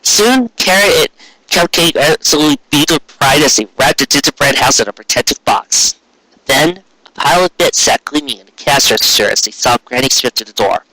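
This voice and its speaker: A man's voice: on the high side, lively intonation, a little fast, pushed and bright, dry recording, rough recording. A man narrating a written story expressively, with earnest, dramatic phrasing. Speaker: story reader.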